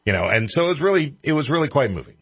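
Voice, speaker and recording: A man with a husky voice hosting a radio show in casual banter. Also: medium pitch, very animated, very fast, dry recording, lo-fi sound.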